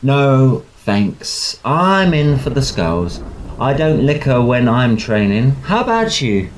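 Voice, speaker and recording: A man's voice: mid-range voice, big pitch swings, takes it slowly, slight background noise. A man playing a hearty, gossipy young student oarsman in a Victorian audio drama, speaking emphatically in clipped British English. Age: young adult.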